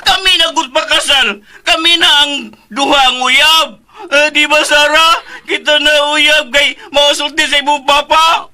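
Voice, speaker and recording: Very high-pitched childlike simple-minded brother. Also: male voice, fairly even intonation, pushed and bright, full character voice. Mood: agitated, defiant. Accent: Cebuano. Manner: halting, stammering, repetitive childlike talk. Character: childlike simple-minded younger brother, innocent, excitable, stubbornly devoted. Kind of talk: Filipino radio drama acting.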